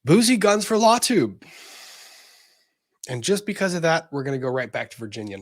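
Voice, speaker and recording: A man's voice: fairly high pitch, very animated, normal speed, no room sound, studio quality. A man co-hosting a legal commentary show, reading a lawsuit aloud dramatically and breaking in with emphatic asides.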